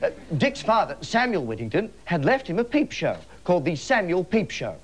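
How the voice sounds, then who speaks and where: Animated Australian man hosting comedy. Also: sits high, moderate pace. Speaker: comedy show host and storyteller. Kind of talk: mock bedtime story narration with ad-libs. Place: television studio, live. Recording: noisy live TV studio sound.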